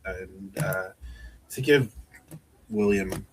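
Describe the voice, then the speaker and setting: A man in a casual chat, speaking in a halting, hesitant way. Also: low-pitched, background noise.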